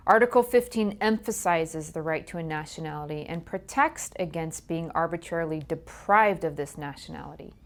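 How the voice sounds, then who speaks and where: A woman hosting a human rights program, delivering a scripted educational talk in a measured, earnest way, her voice fading quieter at the ends of phrases. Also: low-pitched.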